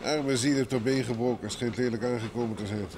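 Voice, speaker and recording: A man's voice: medium pitch, steady tone, fairly quick, lightly acted. An actor playing a sociable, courteous male traveller in an old Dutch radio play. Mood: courteous, brisk. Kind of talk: mid-20th-century Dutch radio play acting. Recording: old radio recording, clear train noise.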